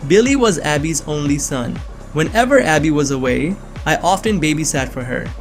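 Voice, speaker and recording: A man's voice: a little high, animated, moderate pace, faint music behind. A young man giving earnest, scripted first-person narration of a suspense story. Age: young adult.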